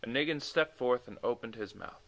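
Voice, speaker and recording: A man reading a children's book aloud as an expressive, dramatic storyteller. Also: medium pitch, brisk, vocal fry, rough recording. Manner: dramatic, animated. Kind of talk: expressive bedtime story narration.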